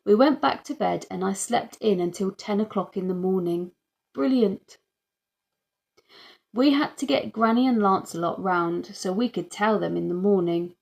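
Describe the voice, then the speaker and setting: A woman's voice: medium pitch, moderate pace, gravelly, darkish tone. A woman reading a children's audiobook as narrator, telling the story in the first person. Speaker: audiobook reader.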